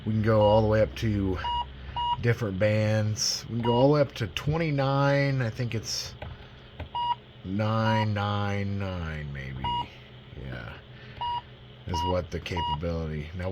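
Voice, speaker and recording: Low-voiced man in a casual gear walkthrough. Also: unhurried, noisy background. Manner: folksy, self-deprecating, rambling explanations. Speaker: radio hobbyist demonstrating a used CB radio. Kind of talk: casual unscripted product walkthrough.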